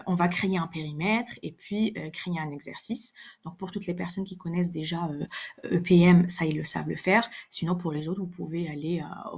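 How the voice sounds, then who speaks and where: Woman, gravelly fry, slightly low pitch, software demonstration, explanatory, halting delivery, repeated words. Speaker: software webinar presenter.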